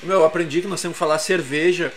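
A man's voice: fairly high pitch, fairly even intonation, fast, over loud music. A man with a Porto Alegre accent, co-hosting a podcast and chatting casually over beer, eagerly running through lists of brands. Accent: Porto Alegre Portuguese. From southern Brazil. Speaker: podcast co-host.

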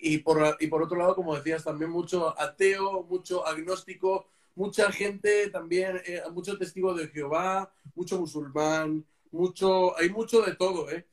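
A man explaining things in a casual conversation, often halting with repeated fillers. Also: high voice, steady tone. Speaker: missionary.

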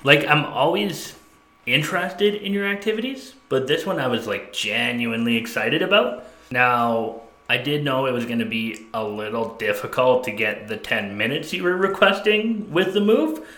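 Bright-voiced man podcasting in an echoey room. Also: medium pitch, sing-song, normal speed. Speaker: podcast co-host. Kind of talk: casual banter between friends.

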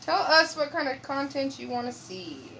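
A woman's voice: sits high, big pitch swings, moderate pace, gravelly, pushed and bright. A woman co-hosting a podcast with her husband, chiming in with short remarks in casual chat. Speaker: podcast co-host. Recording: noticeable background hiss.

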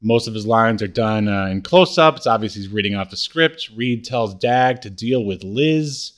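A man hosting a podcast, casually recapping scenes in a halting way with frequent pauses. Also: on the low side, animated. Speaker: podcast host.

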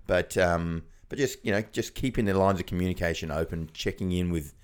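A middle-aged Australian man co-hosting a podcast, chatting casually and easygoing, laughing often and trailing off into quiet asides. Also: deep voice, fairly quick, smooth. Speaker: podcast co-host. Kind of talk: casual chat.

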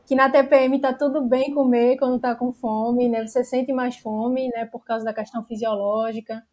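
A woman's voice: a little high, flat delivery, moderate pace, a little mellow. A Brazilian woman speaking as a guest nutritionist, explaining casually and often adding little tags that seek agreement. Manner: peppers speech with tag questions seeking agreement.

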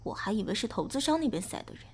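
A woman playing a sharp-tongued film crew colleague in a Chinese audio drama, with a pointed, questioning manner. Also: a little high, sing-song, very fast, creaky, slightly rough, heavily acted. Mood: irritated.

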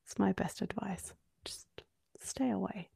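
A woman in her late twenties with a Norwegian accent that carries Australian notes, talking frankly on a podcast. Her voice is heavily gravelly with vocal fry and has a dark, husky tone. She often softens into near-whispers, and the sound is dry and close. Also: medium pitch, measured pace, no room sound. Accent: Norwegian with Australian-English tinge. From Norway. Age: 20s. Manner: soft-spoken, drifting into hushed near-whispers. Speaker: podcast co-host. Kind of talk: candid conversational podcast chat.

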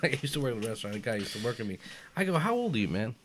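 A young man in casual conversation, emphatic and animated, dropping "man" and "dude" as he argues his point. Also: mid-range voice, racing, slight background noise. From United States, family from Albania. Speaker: podcast guest. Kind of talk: casual chat.

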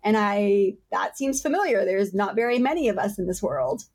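Podcast host: woman, mid pitch, upbeat, casual and friendly. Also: expressive, normal speed, a little mellow. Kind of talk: casual interview chat.

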